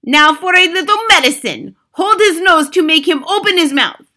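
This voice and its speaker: A woman's voice: extremely high pitch, animated, moderate pace, bright, forceful tone, pitched above the performer's own voice, full character voice. A woman voicing a cruel, imperious witch who shrieks commands in a dramatised storybook reading. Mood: gloating, triumphant. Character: screaming imperious evil Grand High Witch, gleefully cruel.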